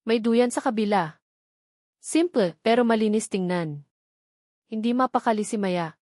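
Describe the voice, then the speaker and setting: A woman narrating a scripted romantic story in an expressive storytelling manner. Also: fairly high pitch, measured pace, dry recording, studio quality. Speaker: audiobook narrator.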